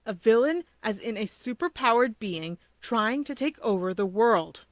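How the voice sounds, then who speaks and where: A woman voicing an earnest, deadpan teenage boy, gravely serious about his conspiracy theories, in a fan fiction reading. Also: fairly high pitch, measured pace, dry recording. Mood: grave, urgent. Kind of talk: fan fiction audiobook character voice.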